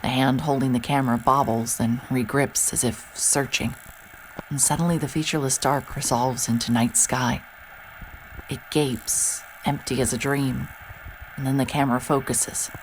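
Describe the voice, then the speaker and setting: An actress playing a hard-bitten, wary private investigator, terse and sardonic, in a horror audio drama with noir-style narration. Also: female voice, a bass voice, steady tone, moderate pace, a little hiss or hum, poor audio. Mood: tense, absorbed. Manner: muttering to self, wry, terse. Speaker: lead character and narrator.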